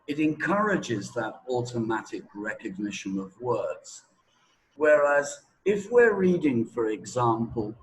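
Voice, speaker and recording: A man teaches in a slow, measured delivery at a middling pitch. He explains step by step and checks that the listener follows. There is some noise in the background of the recording. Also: rough recording. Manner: didactic, asks check questions. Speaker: English teacher. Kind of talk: explanatory teaching talk to a learner.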